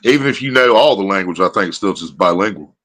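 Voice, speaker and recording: A man's voice: low-pitched, expressive, fast talker, fairly bright tone. A man hosting a livestream. He chats casually and tells rambling stories full of swearing. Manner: profane, rambling storyteller. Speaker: livestream host.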